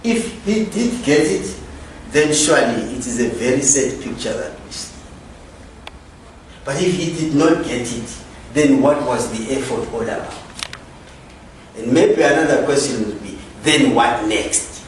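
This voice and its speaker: A man's voice: mid-range voice, lively intonation, a little slow. A man with a Zimbabwean accent giving a public lecture, posing rhetorical questions and questioning his audience. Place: lecture hall. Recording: echoey hall, from far off, faint noise.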